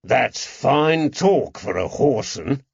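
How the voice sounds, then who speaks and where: A man voicing a gruff, furious police constable who accuses and interrogates in an audiobook. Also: medium pitch, sing-song, takes it slowly, no echo, highs cut off, pitched above the performer's own voice, heavily acted. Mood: stern, probing. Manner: stern, accusing, barking. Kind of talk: audiobook character acting.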